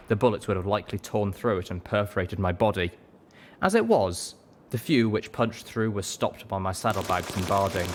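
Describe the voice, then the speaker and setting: Low-voiced man narrating a story. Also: noisy background. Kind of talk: dramatic scripted story narration.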